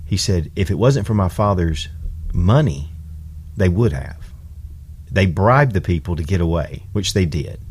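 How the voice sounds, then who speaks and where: Deep husky-voiced man telling a story. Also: expressive, measured pace, a little mellow. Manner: earnest, retells a friend's words with emphasis. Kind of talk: casual storytelling chat.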